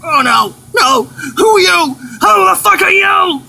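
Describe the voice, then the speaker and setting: A man's voice: very high voice, lively intonation, very slow, creaky, sharp tone, exaggerated voice. A man playing a panicked, bewildered captive in a homemade skit, repeating himself and swearing in exclamations. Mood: panicked, confused. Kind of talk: amateur improvised skit.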